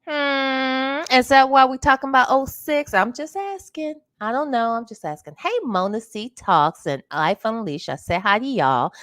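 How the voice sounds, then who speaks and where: A woman hosting a casual livestream, chatting with her viewers while sounding congested and clearing her throat now and then. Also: high-pitched, expressive, normal speed, hardly any pauses, a bit bright. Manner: chatty, greets viewers by name. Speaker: livestream host.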